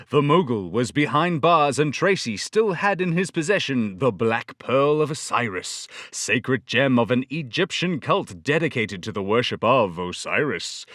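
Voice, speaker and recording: A man's voice: medium pitch, fairly bright tone, no room sound, studio quality. A man voicing an earnest 1930s radio serial announcer with an emphatic salesman's delivery. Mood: dramatic narration.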